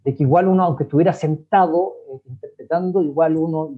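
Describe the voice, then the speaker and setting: A Chilean man talks reflectively on a video call panel. His voice sits a little high for a man and comes through thin and phone-like. He often pauses and restarts as he searches for words. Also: breathy edge. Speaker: actor in a theatre company. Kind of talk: reflective talk in an online panel.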